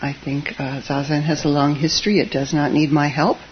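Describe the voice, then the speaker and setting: Soft-spoken Zen teacher, lo-fi hiss. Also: flat delivery, brisk. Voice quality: soft voice that tends to drop low. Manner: hesitant, with frequent fillers and wry asides. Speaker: Zen teacher giving a dharma talk. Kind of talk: gentle, informal dharma talk. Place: meditation hall with a live audience. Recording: dull lo-fi audio with faint hiss.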